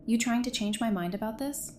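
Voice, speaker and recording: A woman's voice: a little high, fairly quick, a little mellow, close to an everyday voice. An actress playing a guarded, no-nonsense woman doctor in a post-apocalyptic audio drama, speaking in a firm, dry, matter-of-fact way. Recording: faint background noise.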